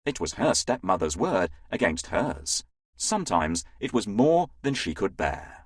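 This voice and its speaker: A man narrating a children's fairytale in an expressive, scripted storytelling style. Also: low-pitched, unhurried, husky, dry recording, studio quality.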